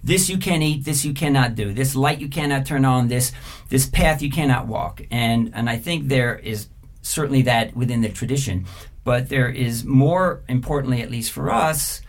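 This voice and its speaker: A middle-aged man answering interview questions thoughtfully, pausing often with fillers. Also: medium pitch. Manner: thoughtful, halting, many filler pauses. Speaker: interview guest, former journalist.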